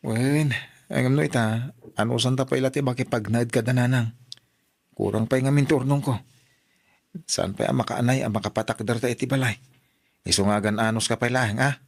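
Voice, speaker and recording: A man's voice: medium pitch, fairly even intonation, brisk, pauses often, lightly acted. A man playing a patient, protective young husband in a radio drama, speaking in an earnest, pleading way. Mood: reassuring.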